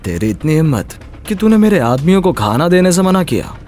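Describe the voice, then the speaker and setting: A cruel cartoon gangster boss, acted by a man, threatens and mocks with a lively, swinging delivery. His speech plays over faint background music. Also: fairly high pitch, a little fast. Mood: threatening. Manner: threatening, mocking. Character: menacing male gangster boss, cruel and taunting. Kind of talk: animated moral story acting.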